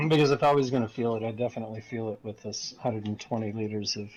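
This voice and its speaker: A man's voice: on the low side, level tone, quick pace, darkish tone, muffled recording. A man talking casually as a sim racing teammate over voice chat during a game.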